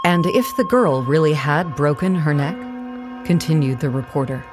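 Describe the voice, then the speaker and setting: A narrator reading scripted historical material for an audio play in a measured, matter-of-fact way, with music underneath. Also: medium pitch, lively intonation, close to an everyday voice. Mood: measured, factual.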